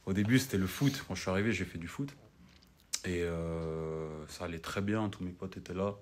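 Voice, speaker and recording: A young man from Cameroon, an ice hockey player, chatting as a guest in a relaxed way and often adding "tu vois". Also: low-pitched, moderate pace. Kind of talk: casual interview chat.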